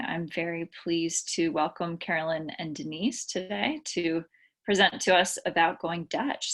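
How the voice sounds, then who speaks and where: Woman, webinar moderator, measured and welcoming, lowish voice. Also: fairly bright tone. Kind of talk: formal introduction.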